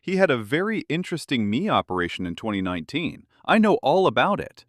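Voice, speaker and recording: A man's voice: medium pitch, fast talker, dry recording. A British man from London co-hosting an English-learning podcast, telling stories in a dry, playful, joking way. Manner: dry, playful storytelling with lively jokes. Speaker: podcast co-host.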